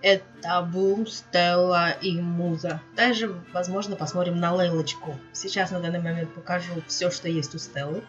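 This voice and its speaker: A woman's voice: lowish voice, steady tone, normal speed, few pauses. A woman hosting a casual gaming let's-play, talking affectionately to her viewers, with her voice a little off. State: voice slightly off, under the weather. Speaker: gaming video host. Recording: dull lo-fi mic, music behind. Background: game music.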